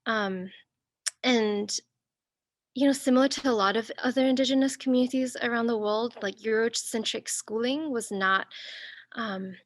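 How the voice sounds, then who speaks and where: A woman from Singapore and northern Thailand giving an academic talk over a video call, thoughtful and searching, with many pauses and restarts. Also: fairly high pitch, dry recording, clean studio sound. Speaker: guest speaker.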